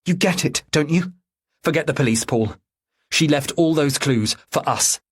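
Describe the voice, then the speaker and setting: A man's voice: fairly high pitch, animated, normal speed, slightly rough, voice pushed up. A man voicing a tense, determined mother who speaks urgently and insistently in an audiobook thriller. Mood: insistent, desperate.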